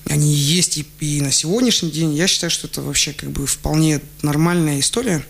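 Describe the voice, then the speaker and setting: A man, a guest on a radio show, telling childhood stories in a relaxed way, laughing often and restarting his sentences. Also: on the high side, brisk, gravelly. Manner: chatty, laughs often, many fillers and restarts. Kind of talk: relaxed radio interview storytelling. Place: radio studio. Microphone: studio microphone.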